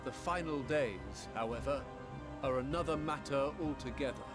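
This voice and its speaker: A man's voice: medium pitch, close to an everyday voice. Solemn man acting a grave elder statesman, music behind. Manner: solemn, declamatory, measured. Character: grave, authoritative male elder statesman addressing an assembly. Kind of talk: formal fantasy game cutscene oratory.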